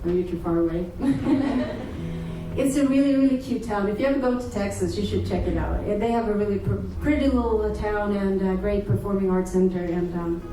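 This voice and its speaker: A woman from Sweden, chatting with her audience between songs as a singer-songwriter, friendly and quick to laugh. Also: mid-range voice. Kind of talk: live stage banter between songs. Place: live music venue, audience present. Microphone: single room mic in the crowd far from stage. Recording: distant, echoey live room mic. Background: guitar and live room sound.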